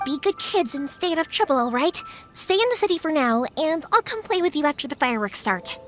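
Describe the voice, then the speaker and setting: A bright, lively young woman who runs a fireworks shop and cares for everyone around her. Her voice sits high, with game music playing underneath. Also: a little fast. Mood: reassuring. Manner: lively, caring, determined. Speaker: main character. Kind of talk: game cutscene voice acting.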